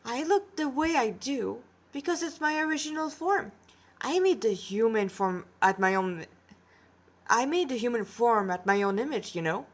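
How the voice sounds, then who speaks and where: A youthful boy god from a story, voiced by a woman, with a fairly high voice. He is gentle and affectionate, playfully sure of his own eternal youth. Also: expressive, normal speed. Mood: playfully proud. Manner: chuckling, affectionate. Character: eternally sixteen-year-old boy god, gentle, playful, self-assured.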